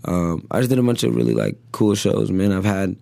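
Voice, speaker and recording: A young man, a rapper, talking casually in an interview with a deep bass voice and a laid-back, filler-heavy way of speaking. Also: big pitch swings, vocal fry, mellow, no echo. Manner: laid-back, drawn-out phrases with frequent fillers. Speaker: rapper guest.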